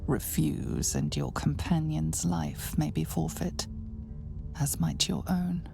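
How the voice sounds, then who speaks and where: A woman playing the grave narrator of a dark fantasy game, solemn, measured and ominous. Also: deep voice, sing-song, creaky, music in the background, heavily acted.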